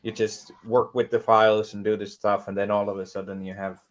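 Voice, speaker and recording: A man speaking as a guest author in a casual discussion, measured and explanatory with many fillers. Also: on the low side, little pitch movement.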